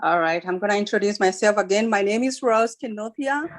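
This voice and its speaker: A woman's voice: mid-range voice, fairly quick. A middle-aged woman with a Kenyan accent, speaking informally as a nurse on a panel over a video call. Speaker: nurse and job fair panelist.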